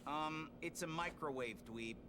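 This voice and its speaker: A man voicing a hot-headed, sarcastic young mutant turtle with a gruff, slangy delivery in a cartoon video game. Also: on the high side, measured pace, noisy background, natural voice.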